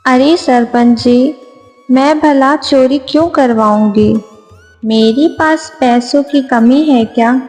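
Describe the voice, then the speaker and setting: A woman's voice: fairly high pitch, a little mellow. A woman playing a jealous, scheming, bossy village woman in a Hindi moral-story cartoon. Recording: long reverb, music behind.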